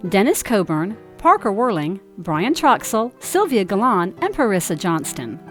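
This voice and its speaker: Woman announcer with a lively, formal read over a music bed. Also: medium pitch. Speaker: show announcer. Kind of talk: scripted credits reading.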